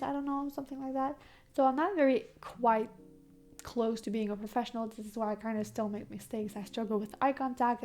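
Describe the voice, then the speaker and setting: A young woman from Quebec with a Québécois French accent, speaking English as her second language. She chats to camera in a fast, excitable, rambling way, with lots of fillers and restarts as she stumbles over words. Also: a little high. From Quebec, Canada. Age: young adult. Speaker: tarot content creator. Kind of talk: casual chat to camera.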